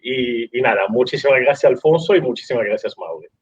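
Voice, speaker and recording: A man's voice: medium pitch, animated, fairly quick, slightly rough, dull audio. An Italian man hosting a casual interview in Spanish, speaking with an Italian accent and many restarts and fillers. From Italy. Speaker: host.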